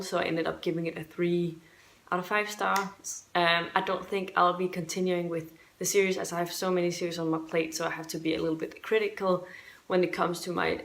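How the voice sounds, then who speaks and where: Low-pitched Danish woman chatting casually. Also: creaky. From Denmark. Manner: hesitant, rambling, self-correcting. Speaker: book reviewer and video host. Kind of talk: casual book review chat to viewers.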